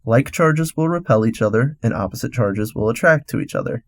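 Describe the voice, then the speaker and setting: A man presents a scripted radio explainer in a mid-pitched voice. He lays things out step by step in an instructional manner. The recording is close and dry, with little room sound. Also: lively intonation, fairly quick, no room sound. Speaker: radio host.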